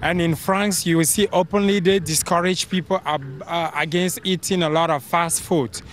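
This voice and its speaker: A man's voice: on the high side, steady tone, moderate pace, slight background noise. A man giving casual interview answers, explaining his view in an earnest way.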